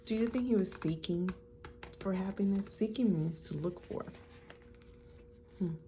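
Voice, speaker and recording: A woman reading a picture book aloud to children in an expressive, teacherly way, explaining things simply. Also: mid-range voice, unhurried, slight background noise.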